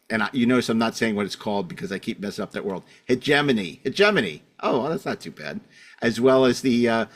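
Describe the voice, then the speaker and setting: A man's voice: medium pitch, fairly bright tone. A middle-aged man with a mid-range voice hosts a casual podcast. He wanders through his introductions with wry asides and pokes fun at himself as he goes. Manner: rambling, wry, self-deprecating. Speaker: podcast host.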